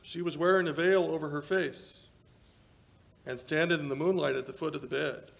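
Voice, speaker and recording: An older man playing a frail, dazed old villager, rambling haltingly in a radio drama. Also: fairly high pitch, fairly even intonation, gravelly. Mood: weak, wistful. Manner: halting, drawn-out delirious rambling. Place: small indoor stage, live performance. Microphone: vintage-style stand microphone.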